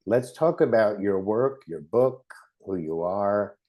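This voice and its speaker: Low-voiced rambling podcast host. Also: male voice, animated, takes it slowly, warm tone. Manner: friendly, rambling, trails off. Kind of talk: casual interview hosting.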